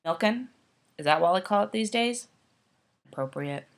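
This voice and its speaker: A woman's voice: medium pitch, expressive, moderate pace. A woman with a head cold, sniffling as she mocks a children's book in a sarcastic, profane casual commentary. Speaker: YouTuber.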